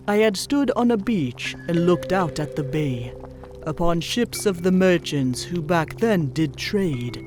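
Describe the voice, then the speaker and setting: Wise female zebra forest shaman acted out for a cartoon fan drama: slow, measured rhyme, music underneath. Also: mid-range voice. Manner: measured rhyming verse in steady meter. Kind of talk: dramatic fan fiction audio reading.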